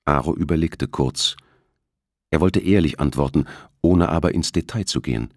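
A man's voice: rumbling low, normal speed, darkish tone, dry recording, clean studio sound. A man narrating a suspense novel as an audiobook, reading from a script. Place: close, dry studio recording.

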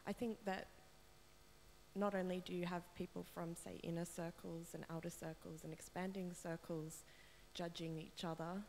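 A woman's voice: on the low side, flat delivery, moderate pace, creaky, a little mellow, a little hiss or hum. A woman in the audience asking a question at a seminar, hesitant with many ums and a wry edge. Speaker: audience member. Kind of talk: question from the floor. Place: lecture room. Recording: distant voice with long reverb in a lecture hall.

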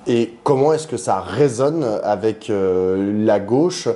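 A man hosting an interview, asking long informal questions in a halting way, with many restarts. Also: on the low side, animated, mellow. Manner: hesitant, halting questions with frequent fillers and restarts. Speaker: interviewer and host.

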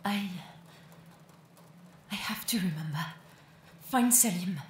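An actress voicing a young woman explorer in a video game, tender and stubborn, with a breathy, emotional delivery. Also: medium pitch, takes it slowly, natural voice. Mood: disoriented, uneasy. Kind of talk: dramatic video game voice acting. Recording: echoey, with loud background noise or music.

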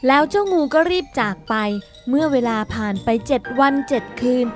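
High-voiced woman storyteller over music. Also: lively intonation, a little fast. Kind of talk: scripted children's story narration.

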